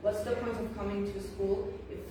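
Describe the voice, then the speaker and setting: A woman's voice: mid-range voice, flat delivery, moderate pace. A teenage girl student speaking casually in a classroom discussion, with many "like" fillers. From Armenia, raised partly in China. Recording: echoey room from far off, clear background noise.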